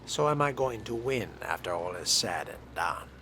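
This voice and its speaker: A man's voice: fairly low pitch, lively intonation, normal speed, sharp tone, a little hiss or hum. A man voicing a wry, curious new vampire fledgling in role-play game dialogue, dry and questioning.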